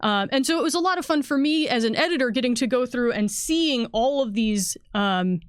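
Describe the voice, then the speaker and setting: A woman with a fairly high voice talks as a game designer giving a livestream walkthrough. She is chatty and explanatory, doubling back to correct herself. The sound is dry, with very little room in it. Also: lively intonation, fairly quick, clear, resonant tone, dry recording. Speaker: game designer and editor, guest. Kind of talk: conversational product walkthrough for a livestream.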